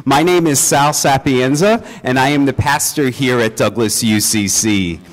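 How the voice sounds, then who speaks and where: A man who is the pastor, welcoming his congregation and introducing a service in a church with an earnest, measured delivery. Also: mid-range voice.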